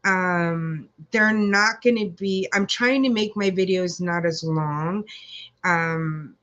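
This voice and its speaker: A woman hosts a relaxed live chat, talking at an unhurried, slow pace in a somewhat low voice. She sounds congested and rambles with lots of ums and uhs, breaking off to greet viewers. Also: lo-fi sound. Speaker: livestream host.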